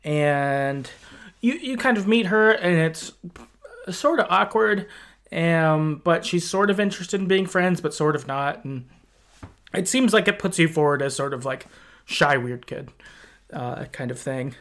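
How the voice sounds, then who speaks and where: A man's voice: a little high, animated, measured pace, fairly bright tone. A man hosting a podcast, talking casually and a little hesitantly as he recaps a video game's story. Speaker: podcast host.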